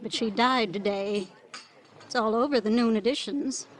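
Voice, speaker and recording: An actress playing a chatty, airily fussy tea shop hostess with arch, rambling politeness in classic American radio drama style. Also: female voice, on the high side, moderate pace, close to an everyday voice. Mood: gossipy, airy. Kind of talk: 1940s-50s American radio drama acting. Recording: noisy old radio recording.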